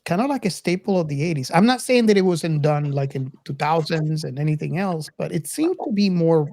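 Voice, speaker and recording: A man's voice: on the high side, animated, a little fast, gravelly, darkish tone, dry recording. A middle-aged man co-hosting a podcast in casual film discussion, reflective and often searching for his words. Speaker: podcast co-host.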